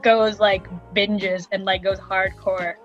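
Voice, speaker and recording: A woman's voice: mid-range voice, flat delivery, takes it slowly. A young adult woman co-hosting a casual podcast, chatting with friends in a playful, teasing way. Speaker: podcast co-host. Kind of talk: casual chat among friends. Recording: slightly dull audio, background music.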